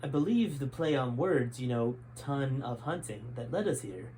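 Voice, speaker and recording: A man voicing a scheming male general who talks low and quiet in a read-aloud story. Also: expressive, normal speed, slight background noise, natural voice, low-quality recording. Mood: puzzled.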